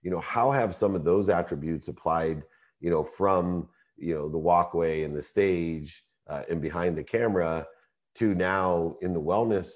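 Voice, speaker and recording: A man hosting a podcast, asking wordy interview questions conversationally in halting phrases. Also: deep voice.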